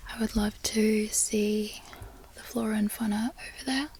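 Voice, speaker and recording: A young woman from Florida whispering softly in a chatty, rambling way, as a relaxed ASMR talk. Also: mid-range voice, measured pace, slight background noise. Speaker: ASMR creator.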